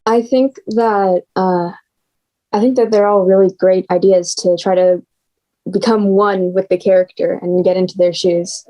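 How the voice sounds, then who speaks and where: A woman's voice: medium pitch, steady tone, a little slow, dark tone, low-quality recording. A young woman giving casual, informal answers on a panel in a tentative way. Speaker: young scriptwriter and singer.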